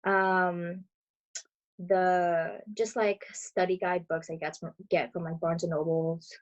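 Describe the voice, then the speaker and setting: A woman in her twenties, born in Brazil and raised in Florida, giving relaxed, chatty interview answers as a dental student over a video call. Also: on the low side, takes it slowly, highs cut off. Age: 20s. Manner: chatty, relaxed, many fillers.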